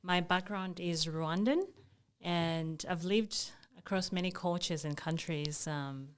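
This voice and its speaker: A young adult woman from Zambia, a guest in an interview, speaking thoughtfully with frequent ums and soft laughs. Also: on the low side, steady tone, takes it slowly, clear, resonant tone. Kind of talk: casual conversational interview.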